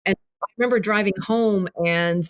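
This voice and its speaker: A woman whose first language is Arabic, a filmmaker and author speaking as a podcast guest, talking reflectively with many restarts. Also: medium pitch. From grew up in Kentucky, USA. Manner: reflective, halting, many restarts and "you know". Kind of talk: casual interview conversation.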